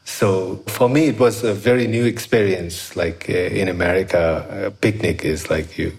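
A middle-aged man from Nepal with a Nepali accent, reflecting on his life in thoughtful, halting phrases as an artist being interviewed. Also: low voice, steady tone, darkish tone. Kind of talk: reflective personal storytelling.

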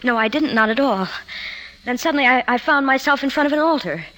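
Casting: a glamorous, rattled patient, high tremulous voice, halting dramatic delivery, noisy vintage radio play. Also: female voice, animated, a bit bright. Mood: distressed. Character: anxious, glamorous magazine woman confiding in her psychoanalyst. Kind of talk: 1950s radio drama acting. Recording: noisy 1950s radio broadcast recording.

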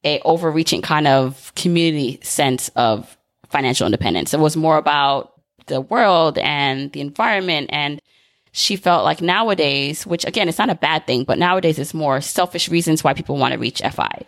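A podcast host talks conversationally, setting up long and winding questions for a guest. The voice sits in a middle pitch. The recording is dry and close, with no room sound. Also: a bit bright, no room sound. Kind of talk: casual interview chat.

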